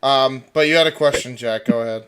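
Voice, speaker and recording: A man's voice: mid-range voice, brisk, a bit bright. A man co-hosting a livestream in casual chat, polite but casually sweary, with noise and clicks behind him. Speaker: livestream co-host. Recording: clear background noise, clicks.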